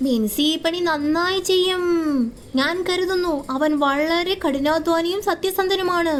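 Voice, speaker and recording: Narrator playing an insistent high-voiced landlord's wife. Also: female voice, unhurried, a little hiss or hum. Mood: approving. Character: landlord's suspicious, advising wife. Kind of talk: cartoon moral story dialogue.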